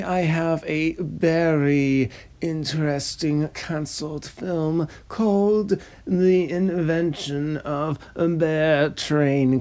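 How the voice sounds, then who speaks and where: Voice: mid-range voice, warm tone. A narrator reading a script in a formal, pompous, long-winded and droning way. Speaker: narrator and host. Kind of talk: scripted narration of a cancelled film pitch.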